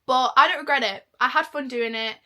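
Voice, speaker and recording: A woman's voice: high voice, brisk, pushed and bright. A young woman from Manchester chatting casually in a reaction vlog, mocking herself as she goes. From Manchester, England. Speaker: vlogger.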